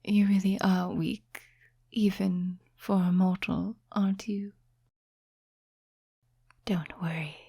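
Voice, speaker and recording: A woman voicing a sly, seductive demon in a soft-spoken ASMR roleplay, mostly whispering in a breathy, teasing, condescending way. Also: mid-range voice, fairly even intonation, dry recording, studio quality. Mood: gloating, soothing. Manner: slow, deliberate, teasing, condescending to mortals. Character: sly, seductive female demon tempting a mortal summoner.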